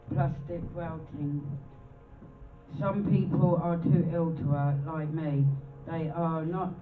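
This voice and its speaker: A man reading a tribute aloud at a charity event, halting and pausing in the middle of phrases. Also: on the high side. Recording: echoey, distant, phone-like, music behind.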